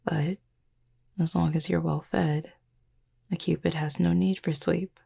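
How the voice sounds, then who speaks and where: A woman voicing a calm, proud but caring cupid roommate in a whispered sleep-aid roleplay, speaking in a breathy, husky half-whisper with measured, formal, gently teasing phrasing. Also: lowish voice, exaggerated voice. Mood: aloof, gently teasing.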